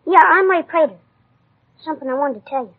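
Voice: high voice, animated, quick pace. A performer playing a worried, earnest young boy in a 1950s American radio drama, hesitant and confiding. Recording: muffled old radio recording.

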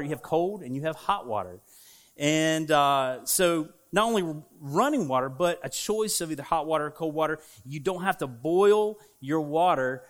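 A man with a Southern American accent preaches in a church, his voice sitting a little higher than most men's. He talks to the congregation in a relaxed, conversational way, full of fillers and asides. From United States. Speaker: pastor. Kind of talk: sermon. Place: church sanctuary.

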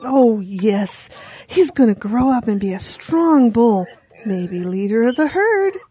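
A woman voicing an excitable, motherly female farm pig in a children's audio drama, gushing and dramatic. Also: fairly high pitch, slow, slightly rough, full character voice. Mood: admiring.

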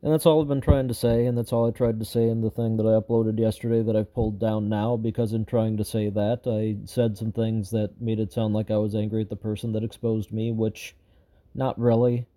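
A man hosting a show gives an unscripted update to viewers, rambling and frustrated, with lots of fillers and restarts, and he sounds slightly hoarse. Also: lowish voice, little pitch movement, a little fast, dark tone, poor audio. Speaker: show host giving an update.

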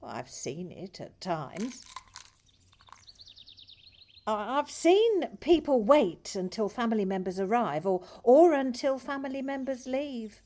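An actress playing a gentle, patient hospice nurse in an audio play, speaking with careful hesitation. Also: female voice, fairly high pitch, big pitch swings, takes it slowly. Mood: calm, curious.

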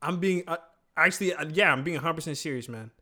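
A man's voice: a little high, fast. A man in his twenties, co-hosting a podcast, telling family stories in casual chat and laughing often. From born in Zambia, family from Congo. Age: 20s. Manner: laughs often, animated storytelling. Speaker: podcast co-host.

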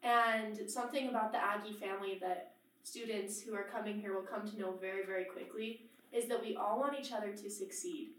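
A woman's voice: medium pitch, steady tone, fairly quick, a bit bright, slight background noise. A young woman, a college student, speaking in an earnest, articulate way. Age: young adult. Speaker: student guest. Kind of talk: casual chat. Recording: roomy and a bit far from mic.

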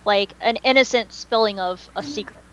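A woman co-hosting a casual fan podcast, talking in an animated, slangy way and swearing casually. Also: mid-range voice, moderate pace, highs cut off, slight background noise. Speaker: podcast co-host.

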